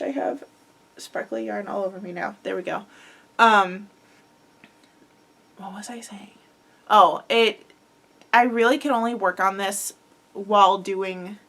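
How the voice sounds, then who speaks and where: A woman's voice: medium pitch, a bit bright. A young woman hosting a crochet podcast, talking casually and rambling with lots of fillers, her voice a little congested from a head cold. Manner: chatty, rambling, filler-heavy with frequent likes and ums. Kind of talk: casual chat.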